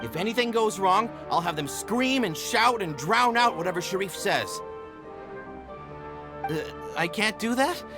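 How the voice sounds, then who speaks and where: A man playing a blustering, stubborn but soft-hearted theater man in a video game. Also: sits high, animated, a little slow, music in the background. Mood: defiant, grudging.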